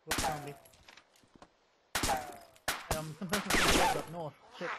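A man's voice: fairly high pitch, takes it slowly. A man talking as a player in an online game's voice chat. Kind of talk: in-game voice chat. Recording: very noisy game voice chat. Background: gunfire and game sounds.

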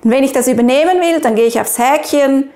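High-voiced Swiss woman giving a tutorial. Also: quick pace, dark tone. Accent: Swiss German. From Switzerland. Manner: clear, instructional, addresses the viewer directly. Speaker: presenter of app tutorials. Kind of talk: step-by-step screen tutorial.

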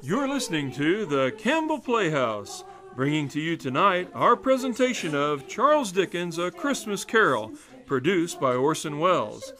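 A man's voice: on the high side, very animated, moderate pace, bright, forceful tone. A man formally announcing a radio drama broadcast as the station announcer.

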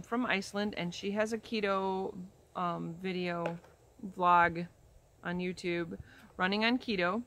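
A woman of about fifty talks casually to the camera while seated outdoors in a leafy yard. Her voice sits a little low, and she rambles along with ums and self-corrections. The sound is a simple camera recording, in the open air. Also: fairly bright tone. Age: 50s. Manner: chatty, rambling, frequent ums and restarts. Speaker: vlogger. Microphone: camera in front, head and shoulders framing.

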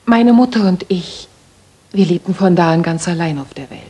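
A woman's voice: lowish voice, normal speed, dark tone, breathy edge. An actress playing a worried young lady music teacher in a German radio play, speaking politely, earnestly and formally. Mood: earnest, confiding.

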